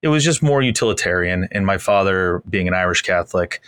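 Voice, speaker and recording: A man, a chef guesting on a podcast, who rambles cheerfully and pokes fun at himself. His voice sits very low, and the recording is dry with no room sound. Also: expressive, fairly quick, a bit bright, clean tone, no echo. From Massachusetts, US. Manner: chatty, rambling, easygoing with self-deprecating humor. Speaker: chef and restaurant owner, guest. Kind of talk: casual interview storytelling.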